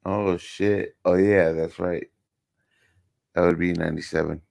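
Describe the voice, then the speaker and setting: A man's voice: low-pitched, steady tone, a little slow, vocal fry, a little mellow. A man in his forties chatting casually, blunt and curious, asking questions. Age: 40s. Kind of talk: casual chat.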